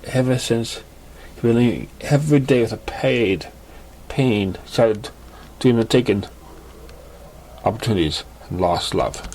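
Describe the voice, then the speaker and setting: Man, mid-pitched voice, amateur story narration, stumbling delivery, restarted words. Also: measured pace, long pauses, a little mellow. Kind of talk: fan fiction narration.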